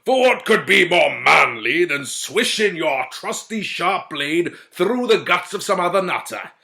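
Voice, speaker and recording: A man voicing a brutish medieval lord who booms boasts in a beery drawl. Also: sing-song, unhurried, vocal fry, pushed and bright, heavily acted. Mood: boastful.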